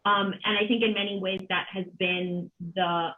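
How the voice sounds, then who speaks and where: A woman in her thirties speaks on a video call with thin, phone-like sound. She moderates a panel in an unscripted, reflective way, often pausing and repeating words as she searches for the right phrase. Her pitch sits in a middle range. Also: level tone. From Colombia. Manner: halting, thoughtful, with many pauses and repeated words. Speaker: panel moderator, arts organization director. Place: home kitchen, on a video call. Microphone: computer mic on a video call. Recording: dull, band-limited video call audio.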